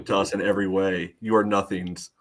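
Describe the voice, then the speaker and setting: Deep-ish guy cohosting a soccer podcast, dry and kinda sarcastic, casual chat. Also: flat delivery, a little fast, noisy background. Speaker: sports podcast co-host.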